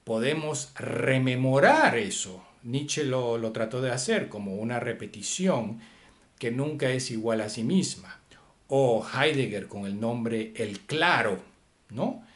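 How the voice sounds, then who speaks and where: Slow, hesitant man lecturing on philosophy. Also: mid-range voice, a bit bright. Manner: hesitant, many fillers and rhetorical questions.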